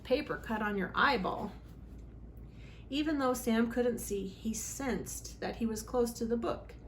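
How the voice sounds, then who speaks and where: Woman doing storytime for kids, highish voice, expressive and dramatic, bit of background noise. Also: unhurried. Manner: expressive, dramatic emphasis on sound words. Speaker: children's library read-aloud host. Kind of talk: animated storybook read-aloud for children.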